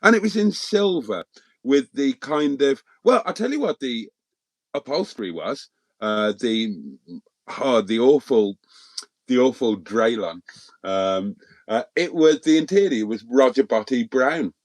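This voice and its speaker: Middle-aged man, from Wales, first language Welsh, livestream host, slow unhurried talk, dry close sound, rambling with lots of ums. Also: mid-range voice, expressive, no room sound. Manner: rambling, hesitant, many ums and restarts. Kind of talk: casual livestream chat answering viewers.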